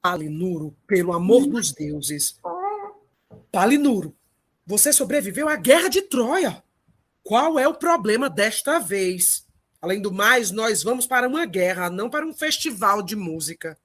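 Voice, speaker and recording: A steadfast male war leader of Troy played by a woman in an audio drama. The voice is husky, middling in pitch, and carries a father's authority. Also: big pitch swings, a little slow, a bit bright, full character voice. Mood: exasperated. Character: adult male Trojan warrior leader, steadfast, exasperated father.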